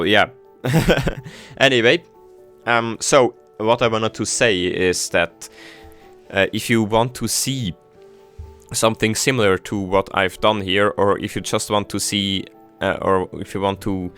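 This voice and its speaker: Halting young German-speaking guy, faint music. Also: lowish voice, a bit bright. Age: teens. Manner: halting, many fillers and restarts. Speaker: city-building game creator. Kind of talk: casual gameplay commentary.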